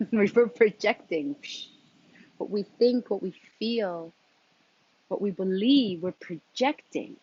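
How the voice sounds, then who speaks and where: A woman with a husky, mid-pitched voice talks like a life coach delivering a spiritual message. She speaks in short, deliberate phrases and repeats them for emphasis. The recording is dull and lo-fi. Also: a little mellow. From New York, of Colombian background. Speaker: transformative coach and intuitive guide. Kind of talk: motivational spiritual talk to camera. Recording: dull, lo-fi sound.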